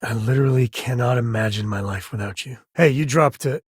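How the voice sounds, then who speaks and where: A man voicing a rugged, wry space explorer and devoted father in a video game, with a husky voice and a folksy, conversational way of talking. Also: mid-range voice, a little fast, dry recording, studio quality, close to an everyday voice. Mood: tender, reassuring. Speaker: video game companion character.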